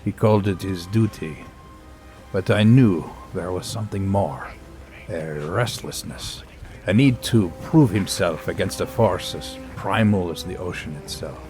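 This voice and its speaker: An actor playing a grave, brooding naturalist, narrating an audio drama in solemn, measured phrases with portentous pauses. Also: male voice, sits low, expressive, darkish tone, soft background music.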